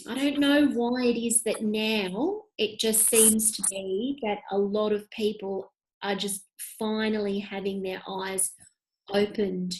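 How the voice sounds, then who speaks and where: A woman's voice: mid-range voice, fairly even intonation, slow pace, a little mellow, rough recording. A woman in her thirties, a podcast guest on a webcam call, speaking in an impassioned, emphatic way. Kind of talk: conversational interview. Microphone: video call webcam.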